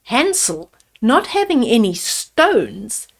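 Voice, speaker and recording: An older woman storyteller with a fairly high voice reads a children's fairy tale very slowly. She is deliberate in her delivery, pausing to explain things to her young listeners as she goes. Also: big pitch swings, gravelly. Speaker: storyteller reading children's picture books. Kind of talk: read-aloud bedtime fairy tale with asides to children.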